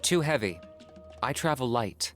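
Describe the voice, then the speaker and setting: An actor playing a calm, pragmatic young hunter-for-hire in a video game, speaking in a matter-of-fact, deadpan way. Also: male voice, mid-range voice, unhurried, faint music behind. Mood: laconic. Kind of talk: video game character voice lines.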